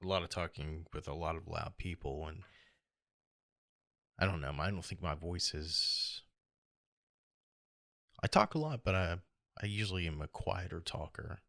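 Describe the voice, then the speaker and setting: A middle-aged American man with a hoarse, gravelly voice, talking alone in a reflective, halting and rambling way as a casual podcast host. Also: low-pitched, lively intonation, sharp tone, no room sound. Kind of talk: casual solo podcast monologue.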